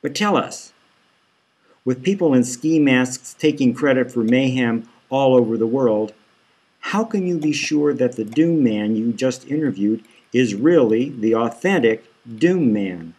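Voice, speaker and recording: A man's voice: mid-range voice, animated, unhurried, a little mellow, breathy edge, low-quality recording. A man voicing a glib, persistent television news interviewer in an audiobook, with insistent questioning. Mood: probing.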